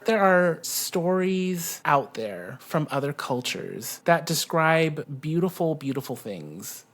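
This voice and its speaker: A middle-aged man with an American accent, telling personal stories conversationally in an animated manner. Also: on the high side, slow. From South Texas, USA. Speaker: guest co-host.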